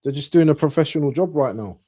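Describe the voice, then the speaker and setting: A man co-hosting a football stream over a video call with his camera off, chatting casually, joking, and giving shout-outs. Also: fairly high pitch, expressive, fast, slightly rough. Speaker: co-host on the stream.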